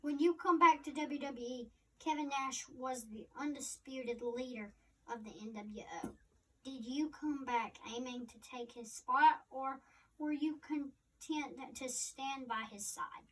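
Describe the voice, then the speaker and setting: A woman co-host reading interview questions aloud in a halting way. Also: sits high, a bit bright. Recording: distant microphone.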